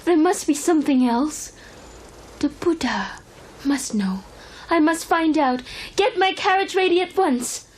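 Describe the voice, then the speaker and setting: A woman's voice: sits high, animated, measured pace, a little hiss or hum, low-quality recording. A woman voicing a wealthy, discontented courtesan in a cartoon, speaking earnestly and formally as she seeks freedom. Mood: eager, resolute. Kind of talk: cartoon voice acting.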